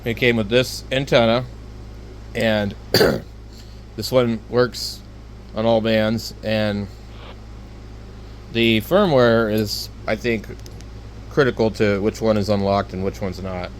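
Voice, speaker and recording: A man giving an unscripted, casual gear review in a rambling, halting, plainspoken way. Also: lowish voice, fairly even intonation, a little hiss or hum, rough recording. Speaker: hobbyist product reviewer.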